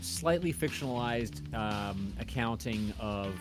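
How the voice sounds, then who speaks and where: A man's voice: lowish voice, expressive, slow, over music. A man in casual conversation, rambling and wandering off on digressions. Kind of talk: casual chat.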